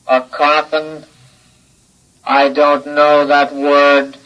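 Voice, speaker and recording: Slow, monotone childlike robot. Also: male voice, on the high side. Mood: childlike, curious. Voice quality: grinding, mechanical voice. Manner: flat, slow, simple childlike phrasing. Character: childlike robot with a six-year-old mind, obedient, coldly menacing. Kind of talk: old-time radio drama acting. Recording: dull old radio recording.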